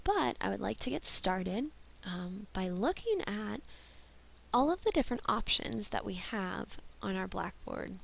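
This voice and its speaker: Woman teacher, stuffy and a bit unwell, gravelly voice, patient step-by-step explaining, training walkthrough. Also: medium pitch, sing-song, measured pace, fairly bright tone, a little hiss or hum. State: stuffy, under the weather. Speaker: teacher leading staff training. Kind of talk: instructional screen-share walkthrough.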